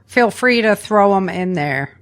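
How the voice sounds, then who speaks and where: A woman's voice: medium pitch, animated, measured pace. A woman hosting a podcast, chatting casually about survival tips in an informal, plain-spoken way. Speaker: podcast host.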